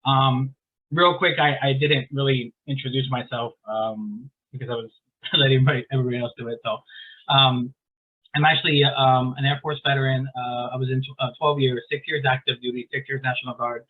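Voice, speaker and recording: A man from San Diego speaks over a video call that sounds thin and phone-like. His pitch stays flat as he goes through his update. He often stops with ums and starts sentences over. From San Diego, California. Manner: halting, many ums and restarts. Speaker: veterans legal services coordinator. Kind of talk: online community meeting update. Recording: tinny phone-like call audio.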